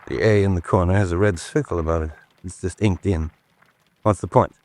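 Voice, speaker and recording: An actor playing a sceptical, curt gentleman in a radio drama, speaking in a dry, dismissive, sardonic way. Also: low-pitched, steady tone, a little fast, dry recording.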